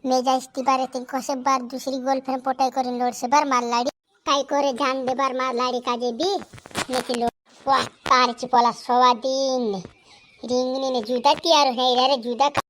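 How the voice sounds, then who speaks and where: A performer voicing a rambling village man in a cartoon, speaking a Bhili dialect in a high, sped-up cartoon voice. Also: little pitch movement, normal speed, a bit bright. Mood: chatty.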